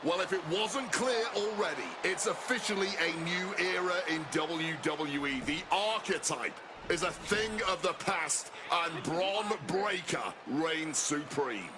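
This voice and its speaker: A male actor playing an excitable pro wrestling TV commentator in a video game, with dramatic, emphatic delivery. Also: on the high side, unhurried, noisy background. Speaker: sports commentator.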